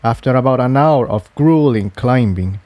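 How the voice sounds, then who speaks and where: A man's voice: medium pitch, moderate pace, no room sound, dull audio. A man reading a scripted adventure story aloud as its narrator. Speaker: fan fiction narrator.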